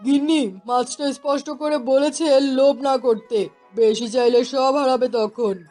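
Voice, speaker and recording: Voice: high voice, fairly even intonation, measured pace, a little mellow, faint music behind. An actor voicing a timid, poor village fisherman husband in a cartoon story, weary and wary in manner. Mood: wary, warning. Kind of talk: Bengali cartoon story voice acting.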